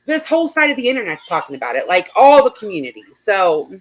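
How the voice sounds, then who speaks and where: A woman's voice: medium pitch, very animated, fast, rough recording. A woman hosting a live stream, chatting casually in a blunt, sarcastic way. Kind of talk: casual reaction chat.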